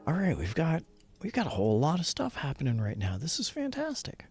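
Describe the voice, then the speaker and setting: A man's voice: fairly high pitch, wildly swooping pitch, fairly quick, a little mellow, husky, soft background music. A man hosting a casual gaming livestream, chatty and upbeat, often muttering to himself as he sets things up. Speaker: livestreamer and host. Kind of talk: casual gaming livestream chat while setting up.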